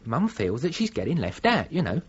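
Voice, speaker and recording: An actor playing a concerned, plain-spoken grown son with a British accent and a hesitant manner in a radio drama. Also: male voice, medium pitch, huge pitch swings, brisk, breathy edge. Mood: awkward. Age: adult. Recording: muffled radio recording, faint noise.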